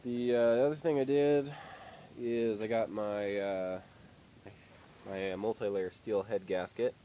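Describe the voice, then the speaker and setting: A man's voice: fairly low pitch, steady tone, measured pace, mellow, a little hiss or hum. A young man with a nasal voice from a head cold, giving a rambling, hesitant update on his car project from a dim workshop. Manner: rambling, hesitant, lots of fillers. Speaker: car hobbyist vlogger. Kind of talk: casual garage update. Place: workshop with poor lighting.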